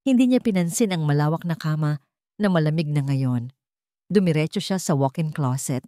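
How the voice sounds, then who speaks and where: A woman with a low, mellow voice tells a dramatic story in a steady, deliberate way. She is recorded close to the mic, dry, with no room sound. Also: no echo. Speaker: narrator. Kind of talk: scripted story narration.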